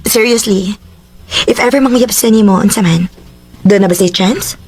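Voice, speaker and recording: An actress with a Cebuano accent playing a soft-spoken young woman, a close friend, in a radio drama. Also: medium pitch, moderate pace, husky. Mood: teasing, curious.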